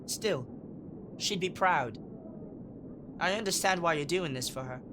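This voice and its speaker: An actor playing a clever young male street-gang leader who talks in quick, earnest deductions. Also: fairly high pitch, lively intonation, noisy background. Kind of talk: audio drama acting.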